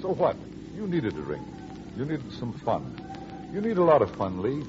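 An actor playing a married woman's attentive, smooth-talking male friend in a 1940s radio drama. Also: medium pitch, huge pitch swings, moderate pace. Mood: coaxing. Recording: noisy, dull old radio recording.

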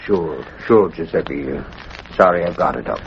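A man's voice: very deep voice, normal speed. An actor playing a blustery, good-natured man in an old radio drama, talking in a folksy, emphatic way. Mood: awkward, sympathetic. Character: blustery, good-natured middle-aged Irish tough guy. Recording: muffled, noisy old radio recording.

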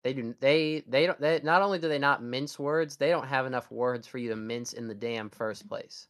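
A man's voice: mid-range voice, moderate pace, a bit bright. A young man co-hosting a podcast, chatting casually in a rambling, sarcastic way with frequent restarts. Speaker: podcast co-host. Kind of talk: casual chat.

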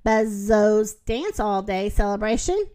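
A woman's voice: medium pitch, lively intonation, unhurried, warm tone, pitched below the performer's own voice, natural voice. A woman voicing a polite bee guarding a gate, asking formally for an invitation.

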